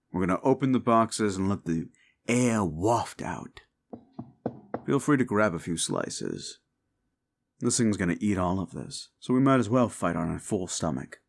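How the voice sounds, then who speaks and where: A man playing a gruff, world-weary demon agent who hunts monsters, with a terse, laid-back, wry drawl, in an action-horror audio drama. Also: low voice, full character voice.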